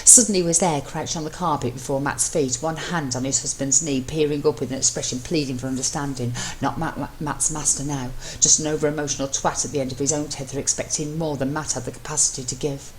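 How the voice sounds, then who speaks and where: Very low-voiced woman narrating fiction. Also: fairly even intonation, quick pace, few pauses. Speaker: fan fiction reader. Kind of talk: dramatic scripted narration of fiction. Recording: noisy amateur home recording.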